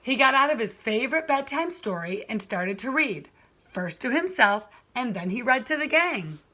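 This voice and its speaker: A woman teacher reading a children's storybook aloud in a deliberate, sing-song way for young listeners. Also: fairly high pitch, big pitch swings, bright, forceful tone.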